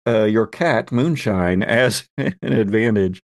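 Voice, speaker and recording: Low-voiced man running a tabletop game. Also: takes it slowly, gravelly, dry recording, studio quality. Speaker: game master and host. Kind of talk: casual tabletop game narration.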